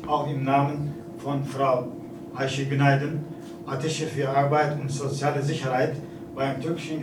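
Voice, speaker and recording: Turkish-accented man in his forties. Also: fairly high pitch. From Turkey. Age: 40s. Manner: formal, with halting hesitations and fillers. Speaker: consulate representative giving a speech. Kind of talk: formal speech at an exhibition opening. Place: event hall. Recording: echoey hall, distant, noisy.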